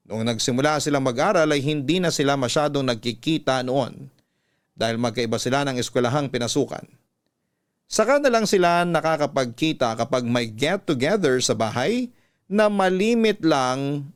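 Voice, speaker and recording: A man with a Filipino accent reading a listener's letter as a scripted, measured and earnest radio story. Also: mid-range voice, clear, resonant tone.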